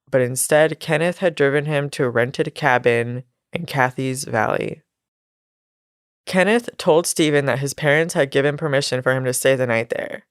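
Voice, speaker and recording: A woman's voice: extremely low pitch, smooth, dry recording, studio quality. A nasal, congested woman telling a true crime story on a casual podcast, with laughs and asides. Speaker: podcast co-host.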